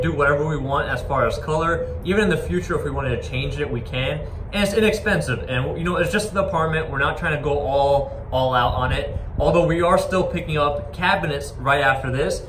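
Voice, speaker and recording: A man in his twenties talking casually to camera, explaining things informally. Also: fairly high pitch. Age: 20s. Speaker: vlogger. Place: inside an empty box truck. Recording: echoey metal space, background noise.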